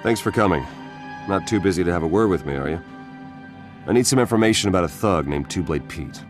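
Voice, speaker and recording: A steady guard captain in a fantasy video game. His voice is deep and commanding, firm but fair, with faint music behind it. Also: fairly quick. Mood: businesslike. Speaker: military captain.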